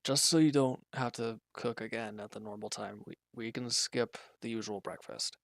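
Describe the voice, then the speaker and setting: A man playing an injured, weary villain in a roleplay audio drama, guarded and quietly considerate, speaking in halting, hesitant lines. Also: steady tone, gravelly, dry recording, studio quality. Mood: weary, reluctant. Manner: hesitant, halting, low-key, grudging. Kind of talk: roleplay audio drama, intimate one-sided dialogue.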